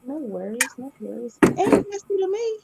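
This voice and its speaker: A woman's voice: high voice, big pitch swings, brisk, vocal fry, heavy background noise. A woman co-hosting a casual live spiritual chat, laid-back and slangy in how she talks.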